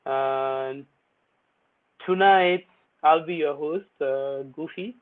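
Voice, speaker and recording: Young man, playful host, slangy chatter, slightly high voice. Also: drawn-out words. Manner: chatty and playful, mixing English with Swahili slang. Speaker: host of an online poetry open mic. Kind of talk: casual livestream hosting. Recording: tinny phone-like audio.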